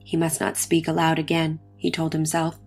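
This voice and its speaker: Female narrator, lower register, dramatic literary storytelling, with soft music in the background. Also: monotone, normal speed, creaky. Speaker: story reader. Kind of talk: dramatic reading of early science fiction. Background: faint music.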